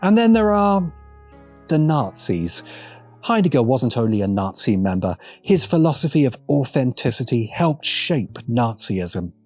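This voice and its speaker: A man narrating a scripted video essay in a deliberate, emphatic manner with pointed pauses. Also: mid-range voice, sing-song, a little mellow, faint music behind.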